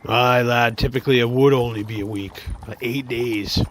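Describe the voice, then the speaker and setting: A man's voice: mid-range voice, fairly even intonation, normal speed, fairly bright tone, slight background noise, lightly acted. A man playing an experienced cart driver in a fantasy radio drama, instructing a younger companion in folksy, old-time phrasing. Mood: explaining.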